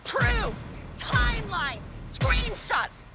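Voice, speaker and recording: A woman performing a loud, worked-up figure demanding evidence in a drawn-out shout, in a clip with music. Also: very high voice, big pitch swings, vocal fry, heavily acted.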